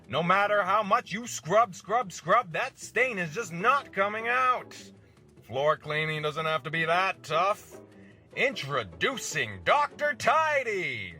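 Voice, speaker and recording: A man's voice: high-pitched, bright, forceful tone, full character voice. A man playing an old-time commercial announcer, reading a scripted radio ad with an emphatic, theatrical salesman delivery.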